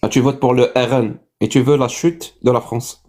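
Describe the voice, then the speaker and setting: A man's voice: mid-range voice, fairly even intonation, normal speed, mellow. A man hosting a casual online debate, asking the guest teasing, pointed questions. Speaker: debate host.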